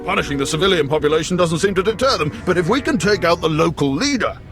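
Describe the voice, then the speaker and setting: An actor playing a wry, seasoned imperial station chief in a video game, speaking in a deliberate manner. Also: male voice, a little high, lively intonation, music in the background. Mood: wry, scheming. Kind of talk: scripted video game dialogue.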